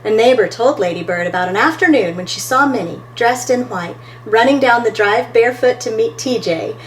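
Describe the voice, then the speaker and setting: A woman's voice: on the high side, normal speed, slight background noise, low-quality recording. A woman reading a picture book aloud as a storytime host, expressively and talking to her listeners between pages.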